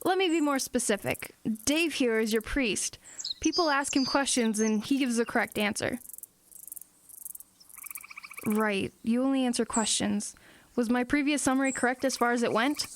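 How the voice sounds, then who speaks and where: A woman playing a plucky teenage girl in an audio drama, matter-of-fact, earnest and brisk. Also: a little high, a little hiss or hum, close to an everyday voice. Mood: brisk, questioning.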